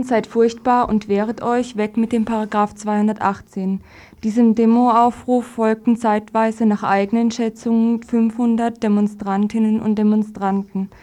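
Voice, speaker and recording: A woman's voice: a little high, warm tone. A woman presenting a scripted news report on community radio.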